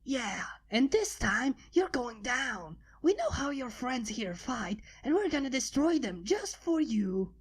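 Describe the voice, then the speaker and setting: A woman's voice: on the high side, very animated, a little slow, few pauses, husky, exaggerated voice. A woman voicing a mocking male monster-hunter pony guard in a fanfic reading. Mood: taunting.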